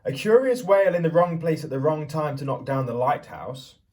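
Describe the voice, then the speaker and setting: A man reading a picture book aloud to young children as a teacher would, theatrically, pausing to put questions to them. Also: on the high side, animated, darkish tone, far from the mic. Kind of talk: expressive children's storybook reading with questions to listeners.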